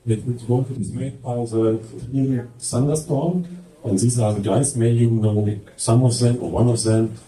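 A middle-aged man with a German accent, presenting slides at a conference in halting English with many fillers. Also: medium pitch, steady tone, dark tone. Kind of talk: presenting slides at a tech talk. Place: large conference hall with projector. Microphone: handheld mic. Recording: echoey hall, mic at distance.

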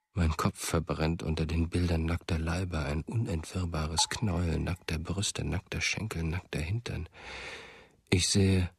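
A man's voice: deep voice, husky, exaggerated voice. An actor playing a brooding, haunted male narrator in a literary radio drama, sombre and introspective.

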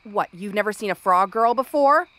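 A woman's voice: medium pitch, lively intonation, normal speed, fairly bright tone, dry recording, natural voice. A woman playing a cranky, sarcastic exiled princess cursed into a frog girl, in a fantasy audio roleplay. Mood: irritated, bratty. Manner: snappish, sarcastic, tsundere tomboyish bluster. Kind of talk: fantasy ASMR roleplay acting.

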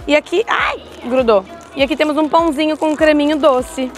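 A woman's voice: high-pitched, fairly quick. A young woman, chatting casually in a travel vlog and describing how the food tastes. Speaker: vlogger.

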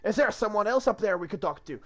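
A man's voice: sits high, lively intonation, quick pace, fairly bright tone, pitched above the performer's own voice. A man playing an earnest, regal king who proclaims formally and insistently, in a comic script reading. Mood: exasperated. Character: earnest, regal King Arthur growing exasperated.

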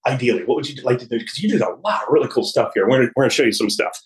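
A man's voice: mid-range voice, lively intonation, rapid-fire. A middle-aged man hosting a casual interview, informal and appreciative, in loose, broken phrases. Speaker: podcast host. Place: small office, on a video call. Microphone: handheld phone.

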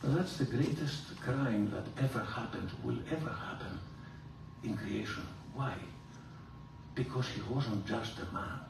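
An older man, a priest, giving a theology lecture in an animated, emphatic way, filmed from across a large room. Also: unhurried, creaky, noisy background. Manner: animated, gesturing, emphatic rises in pitch. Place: large room with hard walls. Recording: roomy hall echo, from far off.

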